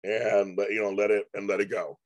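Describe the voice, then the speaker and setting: A man hosting a casual live stream, his voice going hoarse, rambling with many restarts and repeated words. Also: on the low side, flat delivery, fairly quick. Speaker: livestream host.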